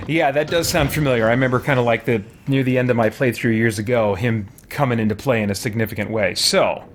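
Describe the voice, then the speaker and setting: A man's voice: mid-range voice, normal speed, slight background noise. Man casually talking through a game. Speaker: let's-play streamer. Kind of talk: casual live gameplay commentary.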